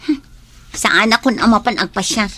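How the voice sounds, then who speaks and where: A woman's voice: mid-range voice, normal speed, natural voice. A woman playing a businessman's strong-willed daughter in a radio drama, with a Filipino accent. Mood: pouting, annoyed.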